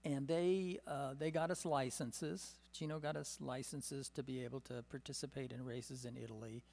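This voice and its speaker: A man's voice: mid-range voice, steady tone, normal speed, vocal fry, a little mellow. An older man at a symposium table telling rambling stories of his racing past, with frequent "uh" and "um" fillers and small chuckles, speaking into a desk microphone in a meeting room. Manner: storytelling with many "uh" and "um" fillers, chuckling. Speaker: symposium panelist. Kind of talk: rambling personal reminiscence. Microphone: desk microphone on a stand.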